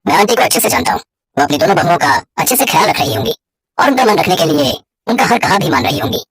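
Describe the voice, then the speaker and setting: A woman's voice: lowish voice, expressive, fast, slightly rough, dry recording. A woman voicing a concerned male family doctor who gives earnest advice in a cartoon story. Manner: earnest, advisory.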